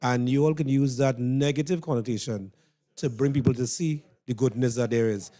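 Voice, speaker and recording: A man's voice: medium pitch, normal speed, dark tone. A man with a Trinidadian accent hosting a radio interview in an encouraging, conversational way. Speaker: radio host.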